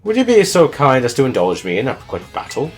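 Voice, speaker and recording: A man voicing a courteous, formal gentleman tutor in a video game, with stiffly polite phrasing. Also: mid-range voice, wildly swooping pitch, fairly quick, faint music behind, pitched above the performer's own voice.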